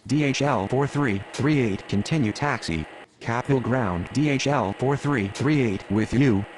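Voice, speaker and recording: A man's voice: mid-range voice, measured pace. A man speaking clipped, procedural air traffic radio phrases in a game.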